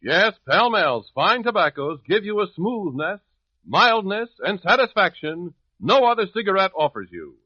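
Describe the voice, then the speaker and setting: A man reading a 1950s radio advertisement with emphatic, deliberate phrasing. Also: high voice, sing-song, dry recording. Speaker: commercial announcer. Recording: dull lo-fi old radio recording.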